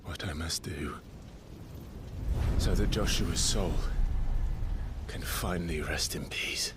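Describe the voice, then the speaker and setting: A man playing a brooding, resolute young warrior in a fantasy video game, with intense, breathy, halting delivery. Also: low voice, background noise. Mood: solemn resolve. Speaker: voice actor in a video game cutscene. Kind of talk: dramatic fantasy game acting.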